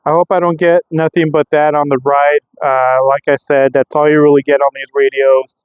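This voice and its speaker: A man's voice: on the high side, level tone, normal speed, clear, resonant tone, no room sound, lo-fi sound. An American man talking casually and off the cuff as a vlogger testing gear, rambling and thinking aloud. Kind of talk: casual unscripted vlog narration. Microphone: clip-on microphone.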